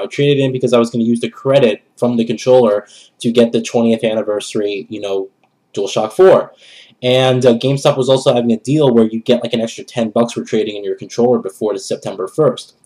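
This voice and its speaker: A man's voice: mid-range voice, a little mellow, poor audio. A young man with a nasal, congested voice from a cold, chatting casually to camera as a gaming vlogger in a bedroom. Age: young adult. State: has a cold. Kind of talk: casual rambling channel update to camera. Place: small bedroom, indoors.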